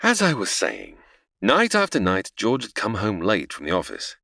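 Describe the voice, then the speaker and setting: A man's voice: fairly low pitch, wildly swooping pitch, normal speed, vocal fry, bright, forceful tone, no room sound, clean studio sound, full character voice. A man playing a senior angel who narrates an audio drama, explaining patiently but easily exasperated. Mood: storytelling. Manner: explanatory, storytelling.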